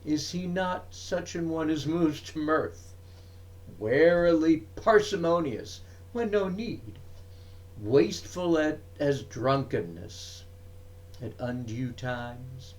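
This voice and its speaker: A man giving a dramatic recitation of poetry, with deliberate, emphatic phrasing and frequent pauses. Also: fairly high pitch, expressive, a little mellow, poor audio. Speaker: reader of poetry.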